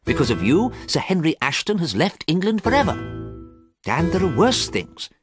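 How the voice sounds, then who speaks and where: A man's voice: on the high side, huge pitch swings, normal speed, music in the background, pitched above the performer's own voice. Man voicing an earnest, troubled artist. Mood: pleading. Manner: quiet, pleading, sad. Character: earnest male artist, worried friend. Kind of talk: audiobook character reading.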